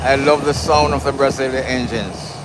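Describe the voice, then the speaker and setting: Male plane-spotting streamer over loud jet noise. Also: mid-range voice, steady tone, normal speed. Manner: casual, answers viewers by name, enthusiastic about takeoffs. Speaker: live-stream host. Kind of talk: live plane-spotting commentary, reading chat. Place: outdoors near an airport runway. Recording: loud aircraft noise outdoors. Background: loud aircraft engines and outdoor ambience.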